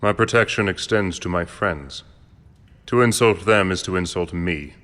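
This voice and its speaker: Deep-voiced, commanding cold count. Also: male voice. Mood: imperious. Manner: formal, imperious, measured. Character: cold, commanding, aristocratic count, menacingly assured. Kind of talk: audio drama acting. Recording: long reverb, loud music behind.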